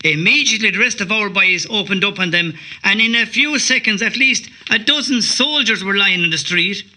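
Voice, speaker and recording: Man as a very bright, high-voiced rebel witness. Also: moderate pace, poor audio. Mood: matter-of-fact. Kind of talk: dramatised first-person witness narration.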